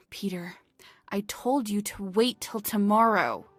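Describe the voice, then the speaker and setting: A woman's voice: medium pitch, takes it slowly. An actress playing a stern, weary, sensible young woman in a visual novel, with a dry, resigned manner. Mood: reproachful.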